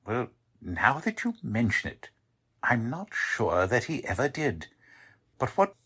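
Husky, courteous warehouse manager. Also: male voice, mid-range voice, very animated, measured pace, a bit bright, heavily acted. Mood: hesitant. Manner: courteous, hesitant, fussily formal. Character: polite, defensive warehouse manager showing investigators around. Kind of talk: 1940s radio drama acting.

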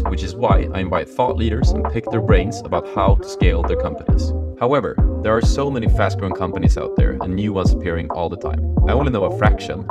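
A man hosting a podcast, reading a scripted intro, then asking questions hesitantly with many filler sounds. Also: low voice. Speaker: podcast host.

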